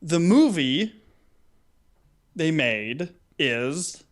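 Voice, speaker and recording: Man, slightly high voice, casual comedic banter, playful, mock-grandiose, podcast co-host. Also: sing-song, very slow.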